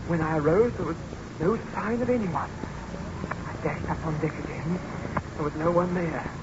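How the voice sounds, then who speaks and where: An actor playing a shaken, dutiful ship's first officer, earnestly reporting in old-time radio drama style. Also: male voice, on the high side, moderate pace, natural voice. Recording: muffled, noisy old radio recording.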